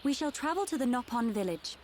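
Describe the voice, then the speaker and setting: A woman's voice: a little high, expressive, a little fast, darkish tone, a little hiss or hum, natural voice. An actress playing a formal, haughty young noblewoman with a posh British accent in a video game. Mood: composed, authoritative. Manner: formal, stately, aloof. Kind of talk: video game cutscene acting.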